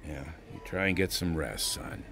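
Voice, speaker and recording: Deep, gravelly hospital doctor. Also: male voice, animated, over music. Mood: calm, reassuring. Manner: measured, reassuring. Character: calm paternal hospital doctor. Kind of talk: radio drama dialogue acting.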